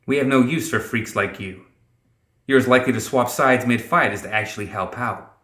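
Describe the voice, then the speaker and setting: A proud demon warrior woman, voiced by a male narrator, hostile and full of disdain. The delivery is forceful and haughty with a threatening edge, in a roomy echo, as in a fantasy audiobook. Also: medium pitch, moderate pace, natural voice. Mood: scornful.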